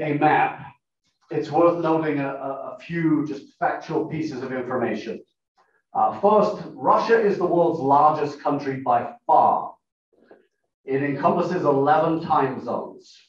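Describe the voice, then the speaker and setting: A man with a funny non-American accent giving a measured lecture to a club meeting, pausing often with fillers. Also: medium pitch, a little hiss or hum. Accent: non-American English. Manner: measured, explanatory, with frequent uh fillers. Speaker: guest speaker, professor. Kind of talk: lecture talk with slides. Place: meeting room. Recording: echoey room, distant, dull lo-fi.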